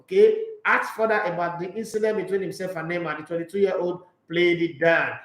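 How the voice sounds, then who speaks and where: A high-pitched man talks football in a loose, opinionated way and makes jokey asides. The room around him is echoey. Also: steady tone, fairly quick, fairly bright tone. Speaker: sports talk show host. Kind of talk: casual sports talk, reading news and viewer comments.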